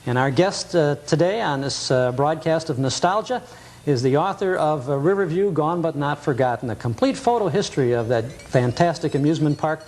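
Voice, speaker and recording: An American man hosting a casual nostalgia interview on television, often pausing hesitantly as he phrases his questions. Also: a little high, animated, darkish tone. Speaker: host of a nostalgia TV show. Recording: noisy 1980s cable TV recording.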